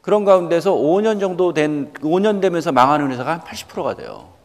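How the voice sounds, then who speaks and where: A man's voice: fairly high pitch, big pitch swings, brisk. A man in his fifties from South Korea gives a motivational lecture to students, emphatic, questioning listeners directly and repeating key points. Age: 50s. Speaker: guest lecturer, company executive. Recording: reverberant hall sound.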